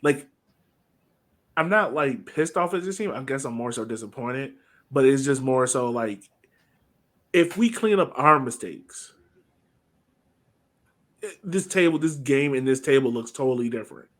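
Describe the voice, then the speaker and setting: A man hosting a soccer podcast in casual chat, informal and rambling as he thinks out loud. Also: medium pitch, animated, unhurried, long pauses.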